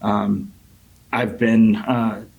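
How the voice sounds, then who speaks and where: A middle-aged man from Connecticut speaking as a city council candidate in an opening statement, halting and earnest in a measured way. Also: lowish voice, flat delivery, gravelly, slightly rough, narrow, tinny audio. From Connecticut, United States.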